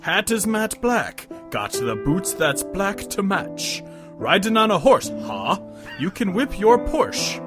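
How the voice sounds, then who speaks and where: A man acts out an over-the-top dramatic reciter of silly lyrics. The voice is pushed high and loud, swooping theatrically and emphasizing each line. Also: sing-song, slow, music in the background, heavily acted. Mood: mock dramatic.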